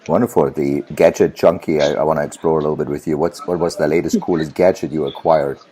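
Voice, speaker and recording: A man's voice: a bass voice, steady tone, quick pace, dark tone. A man hosting an interview, asking long, hesitant questions and often repeating words. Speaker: podcast host, interviewer. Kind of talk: conversational interview.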